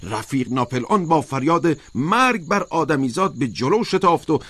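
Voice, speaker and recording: A man's voice: a little high, a bit bright, voice pushed up. A man voicing a sly, fervent propagandist pig in a storybook, speaking in an emphatic, insistent, oratorical way. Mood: insistent, accusing. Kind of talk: audiobook character voice.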